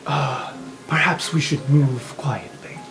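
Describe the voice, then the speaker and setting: A man voicing a discreet male servant with a formal, hushed, careful manner in a tabletop roleplay game. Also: on the high side, slow, faint music behind. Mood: discreet, proud.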